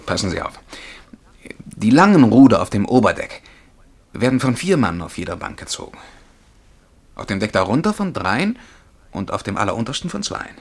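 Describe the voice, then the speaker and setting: An animated, creaky-voiced dreamy young clerk. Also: male voice, lowish voice, normal speed, long pauses, heavily acted. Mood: excited, insistent. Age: young adult. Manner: fervent, absorbed, vividly descriptive. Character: dreamy, excitable twenty-year-old male bank clerk and would-be poet. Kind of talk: German radio play acting.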